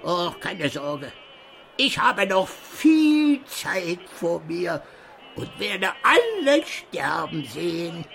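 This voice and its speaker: A man's voice: sits high, sing-song, very slow, gravelly, a bit bright, faint music behind, heavily acted. An actor in a radio play voicing a cheerfully cynical, wheezy old asthmatic man who philosophizes and chuckles. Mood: amused, defiant. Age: older. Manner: philosophizing, chuckling, abrupt swings in volume.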